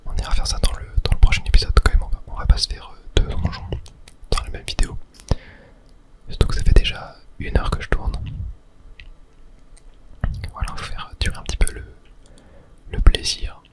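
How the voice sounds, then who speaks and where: Asmr gamer whispering the whole time, breathy, casually muttering along while playing. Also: moderate pace, pauses often. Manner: murmured casual thinking aloud. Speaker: video game streamer narrating play. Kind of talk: whispered ASMR gameplay commentary.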